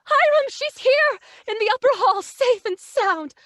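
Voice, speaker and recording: A woman's voice: very high-pitched, expressive, unhurried, fairly bright tone, no room sound, clean studio sound, exaggerated voice. A woman playing an anxious, affectionate mother in an old-time radio drama, breathless and exclaiming. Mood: frantic relief.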